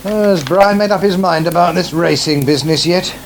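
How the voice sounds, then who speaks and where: Actor as grumbling, preoccupied farming husband. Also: male voice, a little high, brisk, lightly acted. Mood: distracted. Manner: distracted, dismissive. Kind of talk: naturalistic radio soap acting. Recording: noisy 1980s radio broadcast.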